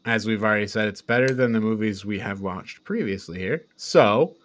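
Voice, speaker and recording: A man's voice: fairly low pitch, normal speed. A man hosting a podcast, talking casually as he reviews and discusses with others. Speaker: podcast host.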